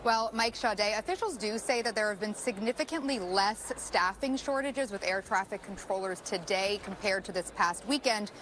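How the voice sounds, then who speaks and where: An American woman delivers a live news report from the field. Her voice is medium in pitch, with light ambient noise from the location behind her. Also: normal speed, hardly any pauses, a bit bright. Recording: faint location background noise.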